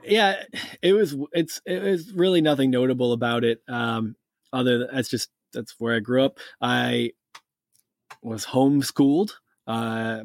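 A man's voice: mid-range voice, dry recording, studio quality. A young man from Massachusetts co-hosting a casual podcast, rambling with many fillers and restarts. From Massachusetts, USA. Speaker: podcast co-host. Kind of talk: casual chat.

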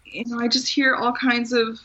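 A woman's voice: on the high side, level tone, normal speed, pushed and bright, muffled recording. A woman from Maryland, an author and memoir teacher, chatting as an interview guest and laughing often. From Maryland, USA. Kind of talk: casual conversational interview.

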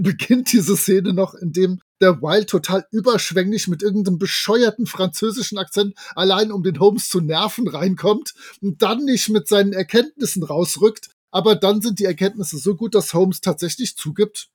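High-voiced, husky man. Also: moderate pace, few pauses. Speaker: podcast co-host. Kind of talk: casual discussion of an audio drama, retelling and commenting.

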